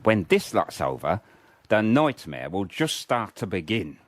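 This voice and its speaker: Sardonic WW1 private, blunt, fatalistic, colloquial, wildly varied pitch, radio drama. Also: male voice, sing-song, unhurried. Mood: bitter, sardonic. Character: cynical, fatalistic WW1 private soldier in the trenches.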